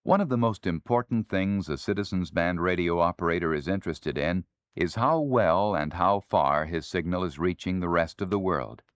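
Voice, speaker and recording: An American man narrates an instructional record in a low voice with animated rises and falls. The delivery is scripted and explanatory, and the sound is close and dry. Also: dry recording.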